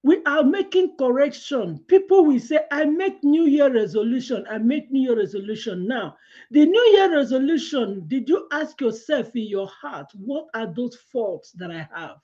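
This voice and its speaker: Animated woman preaching. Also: high-pitched, normal speed, dull audio. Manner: animated storytelling with hand gestures, laughs through her anecdotes. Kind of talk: daily devotional sermon. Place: small room at home, indoors.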